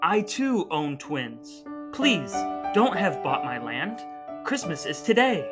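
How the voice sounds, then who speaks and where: A man's voice: on the high side, very animated, slow, over loud music. A man voicing a blunt, earnest widowed mother in a stilted, declamatory parody screenplay reading. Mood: pleading.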